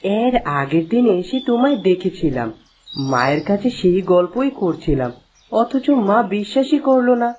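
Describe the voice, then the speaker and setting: A woman's voice: mid-range voice, sing-song, moderate pace, a little mellow, natural voice. A woman voicing a curious, friendly young village boy in a children's cartoon, speaking earnestly. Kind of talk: children's cartoon story dialogue.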